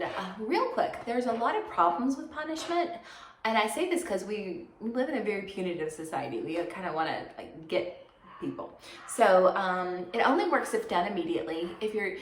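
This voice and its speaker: A woman teaching a psychology lecture in a chatty, everyday way, slightly hoarse and raspy from congestion. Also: medium pitch. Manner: conversational, many fillers, everyday examples. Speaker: psychology instructor. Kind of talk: recorded lecture. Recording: echoey room, faint noise.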